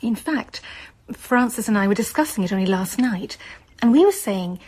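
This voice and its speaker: Genteel, breathy mother. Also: medium pitch, expressive, normal speed, darkish tone, low-quality recording. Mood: tentative. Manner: genteel, coaxing. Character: affectionate, gently persuasive well-to-do Victorian mother. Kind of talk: radio drama acting.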